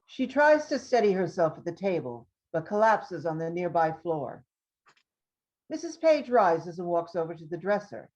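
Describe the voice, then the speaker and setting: Lively low-voiced woman, dull recording. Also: normal speed. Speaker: stage directions reader. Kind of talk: scripted narration of stage directions.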